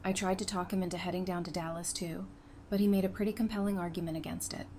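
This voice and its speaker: A woman's voice: on the low side, little pitch movement, fast, darkish tone, slight background noise, lightly acted. A woman playing a practical, easygoing survivor in an audio drama, speaking in a matter-of-fact, conversational way. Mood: explaining.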